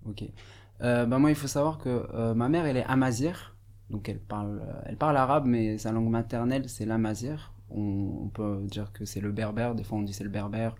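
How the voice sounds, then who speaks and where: A young man from Morocco whose first language is Arabic talks as a guest in a radio studio. His voice sits a little low. He speaks hesitantly and thoughtfully, full of pauses and restarts. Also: steady tone, darkish tone. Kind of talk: casual radio interview.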